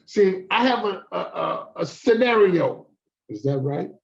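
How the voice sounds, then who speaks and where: Man, high pitch, gravelly, motivational, muffled lo-fi sound. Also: lively intonation, unhurried. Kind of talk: motivational encouragement. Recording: dull lo-fi sound.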